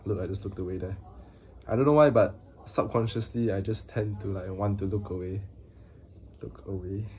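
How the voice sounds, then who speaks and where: A young man talking to his camera in a small room, with a halting, hesitant manner, flat monotone delivery, and many pauses and fillers. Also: fairly low pitch. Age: young adult. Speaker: vlogger. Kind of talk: casual talk to camera.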